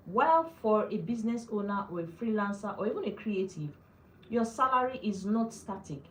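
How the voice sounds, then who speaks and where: A woman's voice: mid-range voice, animated, normal speed, reverberant, slight background noise, rough recording. A woman hosting a live finance talk, speaking earnestly to her viewers and repeating phrases for emphasis. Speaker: personal finance host.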